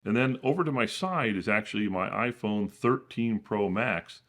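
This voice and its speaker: A man with a deep, booming voice walks through his home studio setup. He explains casually and often restarts his sentences. The sound comes from a camera-mounted shotgun mic in a small room. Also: moderate pace, a bit bright. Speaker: channel host. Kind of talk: studio tour walkthrough.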